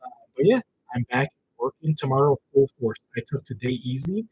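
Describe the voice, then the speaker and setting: A man with a raspy voice from a cold, hosting a casual livestream about his notary business and talking straight to his community in slangy, animated chat. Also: mid-range voice, fairly quick, slight background noise, low-quality recording.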